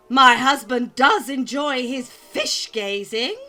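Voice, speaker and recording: A woman's voice: high-pitched, animated, extremely slow, bright, forceful tone, faint music behind, heavily acted. A woman playing an arch, amused artist wife who needles with sugary sarcasm in an audio drama. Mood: needling.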